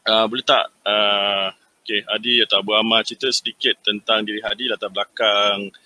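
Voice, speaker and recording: A man with a very bright, sharp tone hosts casually and keeps filling gaps with small hesitations. He speaks a little lower than most men and often hesitates before going on. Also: level tone, fairly quick, rough recording. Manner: friendly, hesitant, many fillers, laughs easily. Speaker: interview host. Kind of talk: casual hosted interview.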